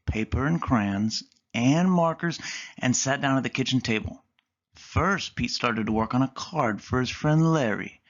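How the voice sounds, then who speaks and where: A man reading a children's picture book aloud with expressive storytelling. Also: mid-range voice, muffled recording.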